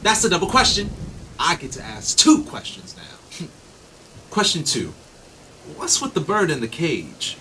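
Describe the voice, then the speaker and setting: A man's voice: fairly high pitch, wildly swooping pitch, measured pace, long pauses, full character voice, rough recording. A man playing a playful, nervous, persistent late-night customer in a radio play. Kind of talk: naturalistic radio play acting. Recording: loud rain and thunderstorm noise behind. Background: rain, thunder.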